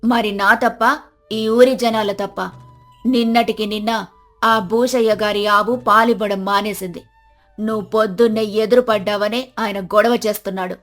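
A woman's voice: medium pitch, steady tone, moderate pace, a bit bright, soft background music, lightly acted. A woman voicing a bitter old village grandmother who speaks in a harsh, reproachful, dismissive way. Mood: bitter scolding.

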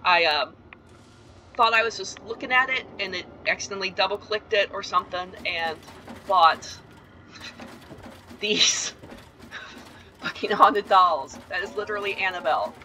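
Bright-voiced chatty woman touring a game house. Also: medium pitch, a little slow, long pauses, slight background noise, poor audio. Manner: chatty and rambling, with frequent ums and asides. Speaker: video game tour guide. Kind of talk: casual gameplay voice-over tour.

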